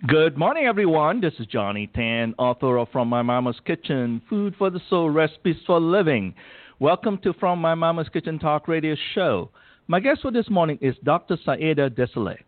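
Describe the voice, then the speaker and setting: Man, radio host, formal polite intro, highish voice, tinny phone line, dry. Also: sing-song, normal speed, no echo. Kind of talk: scripted guest introduction and interview.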